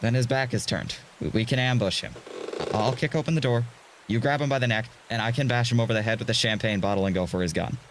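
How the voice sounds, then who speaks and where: A man's voice: mid-range voice, fast talker, background noise, close to an everyday voice. Man acting a hot-headed, agitated young hostage. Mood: urgent, defiant. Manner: agitated, urgent, stammering. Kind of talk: audio drama acting.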